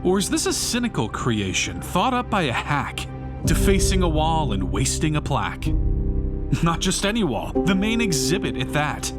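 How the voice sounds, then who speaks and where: Man acting a theatrical, fanatical failed painter over music. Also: fairly high pitch. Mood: scornful. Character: bitter failed male painter turned righteous, fanatical gallery vandal. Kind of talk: theatrical rhyming dramatic monologue, audiobook poetry performance. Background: music behind the voice.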